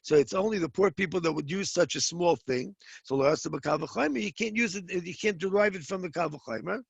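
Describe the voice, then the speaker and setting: Man, rabbi, gravelly husky voice, halting step-by-step explaining, dry close sound. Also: high-pitched, no echo. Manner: explains step by step, many restarts, Hebrew and Aramaic terms mixed in. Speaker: rabbi teaching a Talmud class. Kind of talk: informal Talmud lecture.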